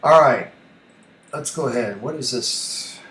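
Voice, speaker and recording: A man thinking aloud through a casual screen tutorial as a hobby radio host, halting and muttering to himself as he works. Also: medium pitch, a bit bright. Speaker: amateur radio YouTuber, host. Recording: echoey room with faint background noise.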